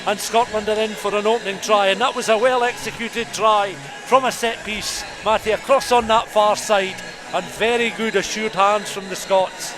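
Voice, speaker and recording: A man's voice: high voice, fairly even intonation, moderate pace, few pauses, music in the background, poor audio. A man giving live radio rugby commentary as the play-by-play caller, describing the action in detail as it unfolds. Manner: descriptive, scene-setting, rising with the play. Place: commentary position at a rugby ground.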